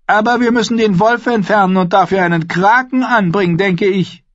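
Arrogant, shrill boy king. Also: moderate pace, fairly bright tone, no room sound, muffled recording. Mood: scornful. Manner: imperious, boastful. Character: arrogant, cruel, excitable teenage boy king. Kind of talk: fantasy audiobook character acting.

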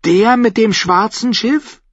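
A man's voice: high voice, slow, dry recording, muffled recording, voice pushed up. A man voicing a self-assured, curious young noble boy in an audiobook story, speaking formally and directly.

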